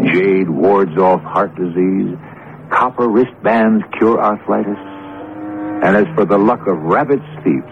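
A man hosting a vintage radio mystery show, narrating a script in a theatrical, rhetorical manner. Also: low-pitched. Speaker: host narrator. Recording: noisy, phone-like old radio recording.

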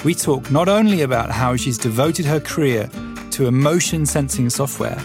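A man's voice: medium pitch, expressive, moderate pace, music in the background. A man hosting a podcast, narrating a scripted intro and then asking questions conversationally with fillers. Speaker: podcast host.

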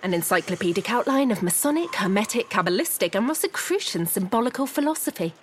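A woman's voice: medium pitch, animated, fast, slight background noise, lightly acted. An actress playing a refined, determined, anxious wife of a missing man in a 1930s noir audio drama, formal and articulate. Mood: composed, informative. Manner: formal, articulate, clipped politeness. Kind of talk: scripted period noir audio drama acting.

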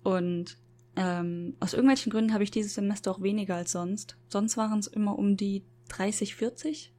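Woman, standard accent, relaxed chatty podcast guest, laughs easily. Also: medium pitch, normal speed. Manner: chatty, laughs often, self-deprecating. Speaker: university lecturer in Japan. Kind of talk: casual podcast chat.

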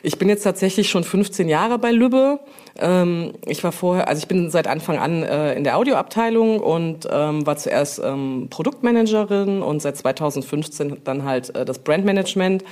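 A woman's voice: fairly low pitch, expressive, moderate pace, darkish tone. A middle-aged woman talking casually and explaining things as an interview guest, often feeling her way through sentences. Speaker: brand manager and interview guest.

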